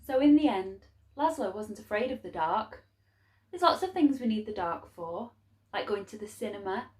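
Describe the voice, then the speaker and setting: A woman reading a story aloud to young children in a measured, expressive way with clear phrasing. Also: mid-range voice, roomy sound.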